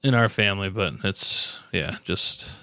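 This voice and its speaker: A man's voice: low voice, a little slow, gravelly, pushed and bright, smooth, no echo. Casual male podcast host, close dry sound. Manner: teasing, blunt, swearing. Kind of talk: casual sports banter.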